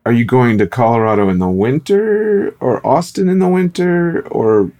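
A man's voice: mid-range voice, sing-song, normal speed, mellow. A man hosting a casual interview, feeling his way into his questions. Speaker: podcast host.

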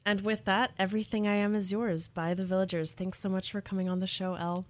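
Casual woman hosting, medium-pitched voice. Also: level tone, quick pace. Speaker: podcast host. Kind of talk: casual chat, interviewing.

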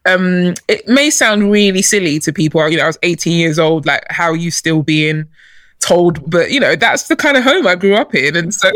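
Lively woman telling her story. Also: on the low side, normal speed, dry recording. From born in Nigeria, raised in London. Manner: lively storyteller, laughs often. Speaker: guest, chief executive. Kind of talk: casual podcast interview.

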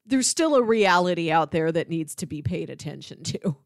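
A woman's voice: on the low side, expressive, moderate pace, dry recording, clean studio sound. A woman co-hosting a podcast, chatting casually about films in a conversational, unscripted way. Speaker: podcast co-host reviewing films. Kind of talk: casual conversational film chat.

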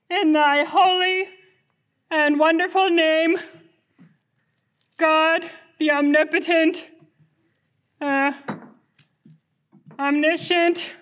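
Woman voicing an earnest high-pitched little boy puppet. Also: a bit bright, exaggerated voice. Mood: solemn, grandiose. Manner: halting, pompous when praying, otherwise plain childlike talk. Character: earnest young boy puppet, worried about his sister. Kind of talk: children's church puppet show acting.

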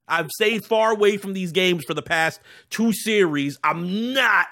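A man's voice: fairly high pitch, bright, forceful tone. A man co-hosting a casual sports podcast, talking emphatically with playful exaggeration and repeating phrases for effect. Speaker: sports and culture podcast co-host.

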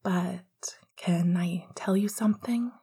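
A tender young man holding back tears, his words coming soft and breathy in a near-whisper, as a woman reads him aloud. He speaks with a gentle, aching closeness. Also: on the low side, slow pace, exaggerated voice. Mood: tender, choked up.

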